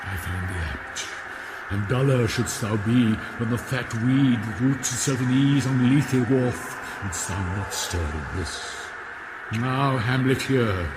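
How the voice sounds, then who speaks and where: Man acting slow, solemn, tormented ghost. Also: medium pitch, very noisy, natural voice. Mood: grave. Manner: grave, portentous, drawn-out. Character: solemn, tormented ghost of a murdered royal father. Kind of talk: classical radio drama acting.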